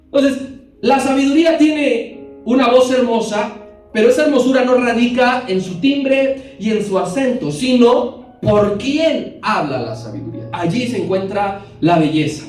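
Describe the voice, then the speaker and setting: A man's voice: very high-pitched, lively intonation, unhurried, a little mellow, soft background music. A man giving a Bible class in a church hall, explaining verses step by step and putting questions to his listeners. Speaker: bible teacher. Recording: very echoey hall, voice from a distance.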